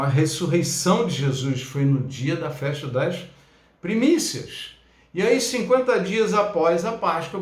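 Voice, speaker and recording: Chatty pastor in an echoey room. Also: male voice, fairly high pitch. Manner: conversational, many fillers and tag questions. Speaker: pastor and Bible teacher. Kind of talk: church teaching lesson.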